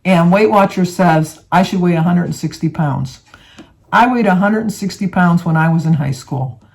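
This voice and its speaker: A woman's voice: lowish voice, moderate pace, vocal fry, darkish tone. A woman in her seventies from the United States, talking casually and rambling to viewers, with many fillers and restarts. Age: 70s. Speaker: vlogger. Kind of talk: casual talk to camera.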